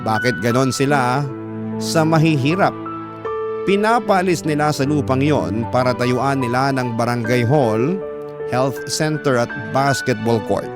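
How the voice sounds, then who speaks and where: Guy narrating a dramatic radio story in first person, medium pitch, solemn and emotional, music clearly under him.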